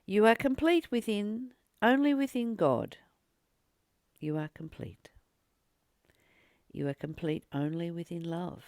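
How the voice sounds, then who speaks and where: A woman giving a spiritual teaching, reading and commenting informally in an earnest, deliberate way, with frequent pauses and emphatic repetition. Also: fairly low pitch, a little mellow, dry recording, clean studio sound. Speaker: spiritual teacher and channel host.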